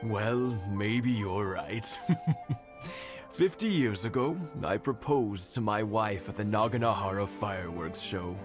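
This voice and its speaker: A gentle old village husband with a low, unhurried voice who fondly retells how he proposed long ago. Game dialogue over loud background music. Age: older. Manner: warm reminiscing storyteller, soft chuckles. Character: kindly elderly village husband, gentle and nostalgic. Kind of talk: game voice acting.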